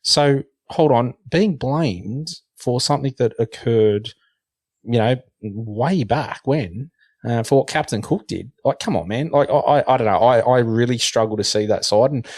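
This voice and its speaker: A man's voice: medium pitch, measured pace, darkish tone, no room sound, studio quality. A middle-aged man with an Australian accent, talking earnestly in a casual podcast discussion and often stumbling over the first words of a sentence. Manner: earnest, repeats words when starting sentences. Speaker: podcast guest.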